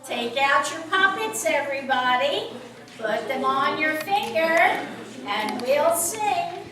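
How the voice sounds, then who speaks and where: Slow, high-voiced woman storyteller in an echoey room. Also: lively intonation, a crowd in the background. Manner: slow, simple phrasing addressed to children. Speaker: storyteller and host of a children's puppet show. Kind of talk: storytelling to young children. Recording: very echoey room, distant mic, music behind.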